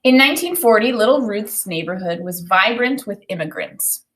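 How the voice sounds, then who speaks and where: A woman with a mid-pitched voice reads aloud as a teacher would to a class. She phrases the storybook deliberately and expressively, and shifts into plain explanation as she thinks aloud about it. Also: expressive, fairly bright tone. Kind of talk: read-aloud of a children's book with think-aloud commentary.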